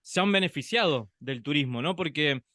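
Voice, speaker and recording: A man co-hosting a podcast, talking through his thoughts in a relaxed, reflective way, with frequent pauses and tag questions. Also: on the high side, dry recording, clean studio sound. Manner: reflective, thinks aloud with many "em" pauses and tag "¿no?". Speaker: podcast co-host. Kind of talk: conversational podcast chat.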